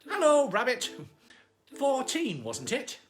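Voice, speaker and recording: Casting: woman reading as gentle-minded muddled storybook bear, hesitant, lilting, slow. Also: high voice, wildly swooping pitch, pitched above the performer's own voice, exaggerated voice. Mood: muddled, musing. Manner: hesitant, musing.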